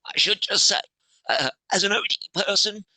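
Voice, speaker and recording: A middle-aged man, a podcast guest, talking with a strained, hoarse voice in halting phrases that break off and restart. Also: sits high, pushed and bright, no room sound. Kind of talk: interview conversation.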